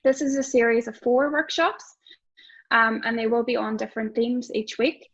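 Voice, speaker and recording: A woman from a support agency welcomes people to a webinar. Her voice is fairly high, and she sounds friendly but often hesitates. Her audio comes through dull and lo-fi over the call. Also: moderate pace, a bit bright. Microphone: video call.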